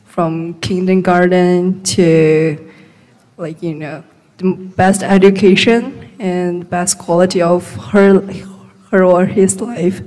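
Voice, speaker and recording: Slow, hesitant young Chinese woman. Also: lowish voice, fairly even intonation, darkish tone. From China. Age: 20s. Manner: hesitant, many fillers. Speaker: student. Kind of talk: class discussion answers. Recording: echoey lecture hall.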